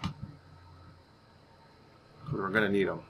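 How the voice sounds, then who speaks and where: A man's voice: deep voice, steady tone, slow pace, vocal fry, a bit bright. A man giving casual gameplay commentary, rambling and thinking aloud as he goes. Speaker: gaming channel host. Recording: faint background noise.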